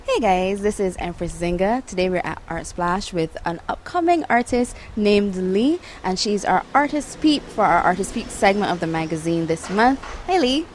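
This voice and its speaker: A woman's voice: medium pitch, lively intonation, moderate pace, hardly any pauses, soft background music. A woman interviewing an artist for a magazine, upbeat and affirming. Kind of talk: casual interview. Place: outdoor arts event.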